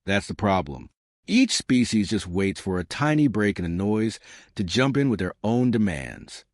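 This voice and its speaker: A man's voice: on the low side, sing-song, normal speed, no room sound, studio quality. A man voicing a weary, exasperated female human delegate explaining things in a science fiction audio story.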